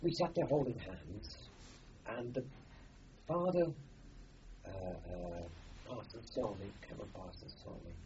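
A man's voice: on the low side, animated, unhurried, long pauses, dark tone, a little hiss or hum. An older man from Austria, a native German speaker, telling a rambling oral-history story as an interviewee, with many fillers and restarts. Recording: dull lo-fi recording.

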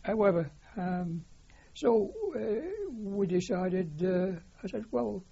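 An older man with a Lancashire accent, reminiscing as a war veteran in an interview, pausing often on fillers. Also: high-pitched, expressive, gravelly, mellow, breathy edge. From Lancashire, England. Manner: hesitant, many filler pauses. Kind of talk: oral history reminiscence. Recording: dull lo-fi recording.

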